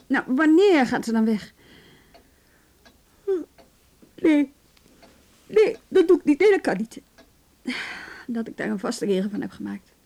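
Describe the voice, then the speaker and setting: A woman's voice: fairly high pitch, a little mellow. An actress playing a wry, weary, no-nonsense professional woman in a naturalistic radio drama, speaking in a conversational, hesitant way. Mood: irritated, sleepy.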